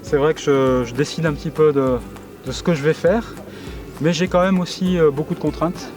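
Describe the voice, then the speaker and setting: A man's voice: fairly high pitch, fairly even intonation, normal speed, darkish tone, faint music behind. A middle-aged man being interviewed casually, speaking hesitantly and often repeating his words. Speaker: interviewee, professional sled-dog musher.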